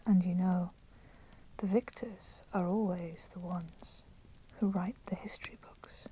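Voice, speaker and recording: A woman playing a polite but menacing vampire in a whispered ASMR roleplay, speaking softly and formally. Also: fairly low pitch, fairly even intonation, slight background noise. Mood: taunting, knowing. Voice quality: breathy, soft, often whispering. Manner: slow, deliberate, formally courteous. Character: polite, menacing female vampire, proud and merciful.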